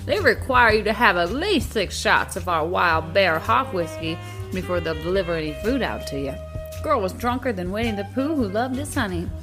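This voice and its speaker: A woman's voice: mid-range voice, sharp tone. A woman playing a gossipy, jaded older diner waitress with a sassy manner in an audio drama. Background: music.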